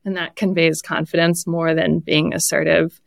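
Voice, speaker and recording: A woman's voice: sits low, level tone, moderate pace, creaky, dry recording. A woman speaking conversationally as an interview guest, giving practical advice as a product leader and coach. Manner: laughs lightly while talking.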